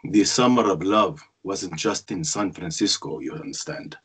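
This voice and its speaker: Low, husky brooding narrator played by a man. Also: normal speed, a bit bright, full character voice. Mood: wry, reflective. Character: grown son narrating his parents' story, wry and brooding. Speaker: actor reading narrator part. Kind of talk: dramatic first-person narration at a cold read. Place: indoor room on a video call. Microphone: video call.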